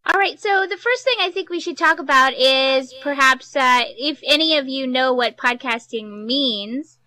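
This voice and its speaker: Bright, clear-voiced woman speaking informally. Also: sits high, moderate pace, dry recording. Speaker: author and panel moderator. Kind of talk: informal panel presentation to an audience.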